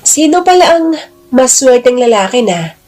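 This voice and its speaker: A woman's voice: high voice, big pitch swings, moderate pace, a little mellow, faint music behind. A woman voicing a flirtatious, chummy man who banters and gushes in an exclamatory, teasing way for an audio drama. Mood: teasing, admiring.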